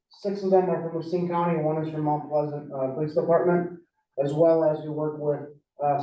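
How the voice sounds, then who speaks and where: Earnest police sergeant in an echoey hall. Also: male voice, fairly high pitch. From Wisconsin. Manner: earnest, hesitant with many fillers. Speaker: police drug unit sergeant. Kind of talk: public meeting presentation. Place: large town meeting hall. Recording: echoey meeting hall, far mic, dull.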